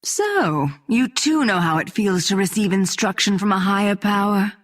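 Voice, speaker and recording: A poised dark elf priestess, played by a woman for a fantasy game. She speaks in a measured, probing way at a medium pitch. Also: expressive, close to an everyday voice. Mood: cool, probing. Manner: measured, questioning. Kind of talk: video game voice acting.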